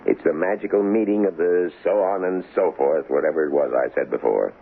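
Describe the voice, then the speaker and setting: Gravelly, very deep-voiced political fixer. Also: male voice, a little fast, heavily acted. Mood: rousing, persuasive. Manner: glib, wheedling salesman's patter, wry. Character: shrewd, folksy male political kingmaker, cynical and pushy. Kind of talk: old-time radio drama acting. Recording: muffled, noisy old radio broadcast.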